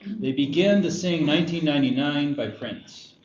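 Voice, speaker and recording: A man's voice: a little high, normal speed. A man narrating stage directions at a screenplay table read. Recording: echoey room, muffled, faint noise.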